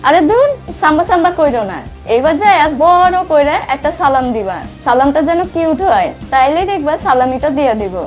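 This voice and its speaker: A woman's voice: high voice, lively intonation, fairly quick. A woman voicing a bossy, scheming older kid in a cartoon who talks in a wheedling, persuasive way. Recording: music bed under the voice.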